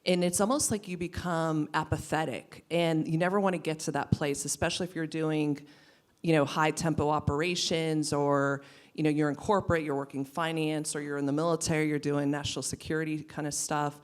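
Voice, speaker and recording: A woman's voice: low voice, steady tone, a little fast. A woman on a career panel who speaks earnestly about resilience and burnout. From western Pennsylvania, US. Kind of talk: panel discussion.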